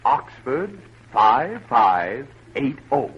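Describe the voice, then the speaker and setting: Fussy, excitable radio doctor. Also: male voice, mid-range voice, drawn-out words, slightly rough, exaggerated voice. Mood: patient, officious. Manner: officious, indignant. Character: fussy, earnest, easily provoked male doctor. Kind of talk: 1940s radio comedy acting. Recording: muffled, hissy old radio recording.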